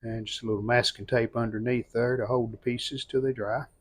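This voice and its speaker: A man giving casual how-to narration as a hobbyist restorer, plain-spoken and folksy, low-key, talking in short phrases. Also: flat delivery, a little fast. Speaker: hobbyist restorer demonstrating a repair.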